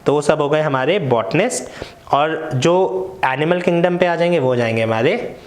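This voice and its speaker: A young man with a fairly high voice and an Indian English accent walks learners through word roots. He explains step by step and keeps checking in with rhetorical questions. His voice bounces around a roomy, echoey space. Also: moderate pace. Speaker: English vocabulary teacher. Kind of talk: explanatory lesson mixing Hindi and English.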